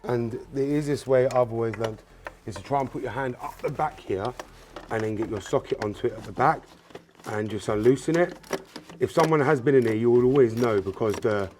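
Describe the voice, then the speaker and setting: A man's voice: mid-range voice, a little fast, a little mellow, a little hiss or hum. A man whose first language is Spanish, hosting a hands-on car repair tutorial, talking casually to viewers with frequent ums and obviouslys. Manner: casual, repeats filler words like "um" and "obviously"; addresses viewers directly as "you guys" while working. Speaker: car mechanic channel host.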